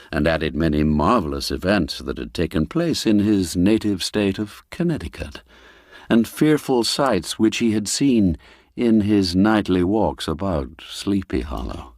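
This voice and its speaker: A man narrating a classic folk tale as an audiobook, in a theatrical, measured storytelling manner with deliberate pauses. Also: low-pitched, darkish tone, no room sound, clean studio sound.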